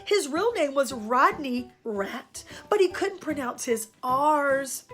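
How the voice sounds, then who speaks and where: A woman storyteller giving an expressive children's read-aloud with dramatic emphasis. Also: high-pitched, takes it slowly, soft background music. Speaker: storyteller and show host.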